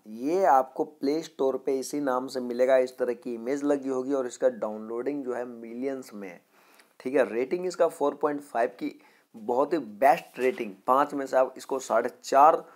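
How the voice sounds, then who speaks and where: A man's voice: mid-range voice, normal speed. A man with an Indian accent hosting a casual voice-over app tutorial. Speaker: host of a tech tutorial channel.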